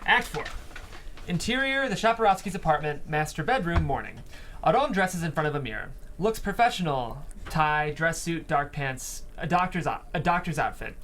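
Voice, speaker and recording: A man's voice: on the high side, animated, normal speed, fairly bright tone, a little hiss or hum. A man reading scene headings and stage directions as narrator of a script table read.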